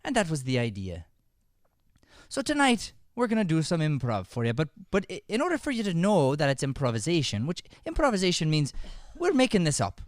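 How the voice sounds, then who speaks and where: A man's voice: a little high, wildly swooping pitch, brisk, no room sound, clean studio sound. A man hosting a live improv show, chatting informally and encouraging the audience. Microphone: podcast microphone.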